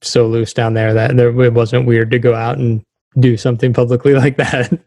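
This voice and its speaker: A man's voice: medium pitch, flat delivery, moderate pace, dark tone, no room sound, studio quality. A man asking questions as a podcast interviewer in a casual, conversational style.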